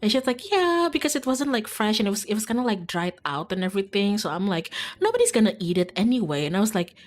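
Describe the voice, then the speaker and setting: A woman's voice: medium pitch, expressive, quick pace. Rambling young woman. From Indonesia. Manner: rambling, filler-heavy, lots of "like". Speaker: VTuber streamer. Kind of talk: casual storytelling while gaming.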